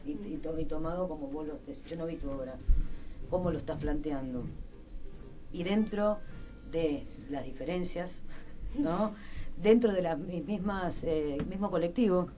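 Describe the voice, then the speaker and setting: Argentine female radio host, deep mellow voice, casual halting delivery over faint music. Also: poor audio. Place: radio studio.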